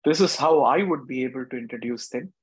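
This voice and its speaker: Husky Indian-accented male host. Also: mid-range voice, fairly quick, no echo. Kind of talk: introducing guests at an online gathering.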